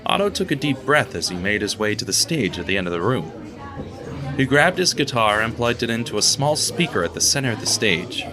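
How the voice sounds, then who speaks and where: A man's voice: on the low side, big pitch swings, fairly quick. Man narrating over guitar music. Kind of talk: scripted audio drama narration.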